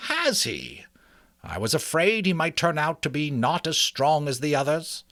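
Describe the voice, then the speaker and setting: A man's voice: a little high, very animated, measured pace, sharp tone, no echo, clean studio sound, pitched above the performer's own voice, full character voice. A man voicing a very old, wise mouse healer in a storybook reading, speaking precisely and emphatically like an authoritative doctor. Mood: kindly, grave. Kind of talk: dramatic storybook character reading.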